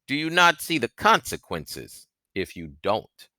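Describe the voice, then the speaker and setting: A man voicing a grave older gentleman in a medieval storybook, speaking in a measured, ominous way. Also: medium pitch, big pitch swings, no room sound, studio quality, voice pushed up, exaggerated voice. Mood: grave warning. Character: grave, older gentleman stranger with secret news.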